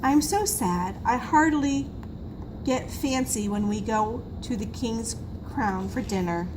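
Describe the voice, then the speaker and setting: A woman reading a children's picture book aloud in an expressive, emphatic storytelling manner. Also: on the high side, slow pace. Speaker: school assistant reading to pupils. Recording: noisy room recording.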